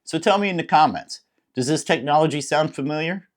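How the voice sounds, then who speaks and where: A man's voice: on the high side, brisk, slight background noise. A man with an American accent narrating a scripted product review in a plain-spoken, explanatory way.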